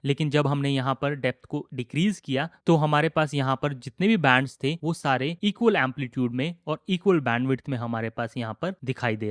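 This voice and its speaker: A man with an Indian accent narrating an instructional software tutorial in a methodical, explanatory way. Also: mid-range voice, no room sound, clean studio sound. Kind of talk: instructional screen-recording narration.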